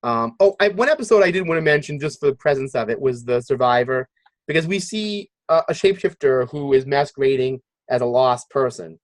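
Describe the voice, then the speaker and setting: A man hosting a casual podcast discussion, halting as he thinks aloud. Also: mid-range voice, expressive, no room sound. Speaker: podcast host.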